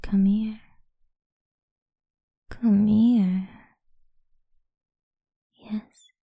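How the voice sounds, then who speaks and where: A woman speaking in a soft, slow, coaxing whisper for a close-mic ASMR roleplay. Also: medium pitch, level tone, creaky, dry recording.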